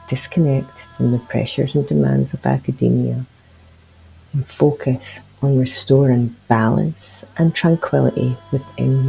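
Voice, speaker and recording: A man leading a guided meditation in a soothing, gentle and encouraging manner. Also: mid-range voice, gravelly, poor audio. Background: music behind the voice.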